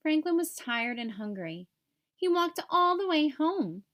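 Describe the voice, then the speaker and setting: Female storybook reader, high-pitched voice, expressive delivery for a young audience. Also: a little slow. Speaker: read-aloud host for young children. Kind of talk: children's storybook read-aloud narration.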